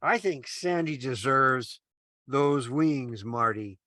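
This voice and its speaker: A man's voice: medium pitch, lively intonation, slow, bright, forceful tone, dry recording. A man playing an eager, naive, kindly junior angel in old-time radio play style, with earnest, exclaiming delivery. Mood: amazed. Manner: earnest, exclaiming, swooping intonation.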